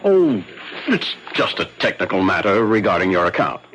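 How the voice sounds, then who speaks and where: An actor playing a polite, evasive assistant bank manager in a 1970s radio drama, hesitant, officious and placating. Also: male voice, lowish voice, very animated, moderate pace. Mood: courteous, evasive. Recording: muffled 1970s radio recording with faint noise.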